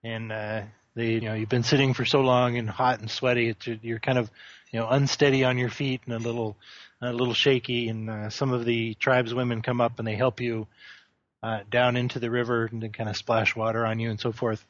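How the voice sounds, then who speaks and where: A man running a tabletop game as game master, narrating with many pauses and fillers. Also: mid-range voice, flat delivery, dry recording. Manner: halting, many ums and uhs. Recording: dry, dull lo-fi call audio.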